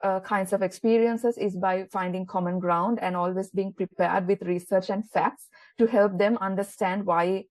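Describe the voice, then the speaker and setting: A woman's voice: mid-range voice, fairly even intonation, normal speed, a little mellow, no echo, rough recording. Careful Sri Lankan woman, very dry sound. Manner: careful, with frequent uh fillers. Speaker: gender equality advocate. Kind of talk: personal testimonial statement.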